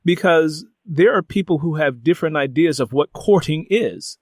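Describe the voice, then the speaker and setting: A middle-aged man with an American accent interviewing someone conversationally, deliberately rephrasing his questions as he goes. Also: on the high side, no echo, clean studio sound. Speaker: interviewer and host.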